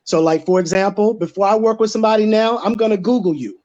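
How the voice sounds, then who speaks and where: Guy with a pretty high voice chatting on a panel, emphatic, kinda muffled audio. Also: fast, darkish tone. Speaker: stage play writer-director and producer. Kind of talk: panel chat.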